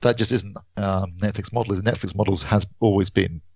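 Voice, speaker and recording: A man reviews in a casual, conversational way. His voice sits low with a gravelly fry. The sound is dry and a little lo-fi. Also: fairly even intonation, normal speed, darkish tone, no room sound. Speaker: podcast reviewer.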